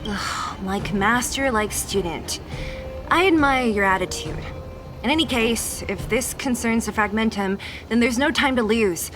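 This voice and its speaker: A woman's voice: on the high side, unhurried, fairly bright tone, faint music behind. A young woman playing a no-nonsense, skeptical fighter in a video game, speaking in a blunt, exasperated and direct way. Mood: exasperated, resolute. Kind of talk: animated video game voice acting.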